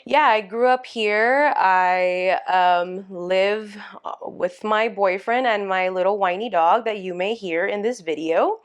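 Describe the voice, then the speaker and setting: A woman's voice: medium pitch, slow, clean tone. A woman around thirty from California's San Fernando Valley, talking casually and hesitantly as a podcast guest, with many fillers and small laughs. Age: about 30. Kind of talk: casual interview chat.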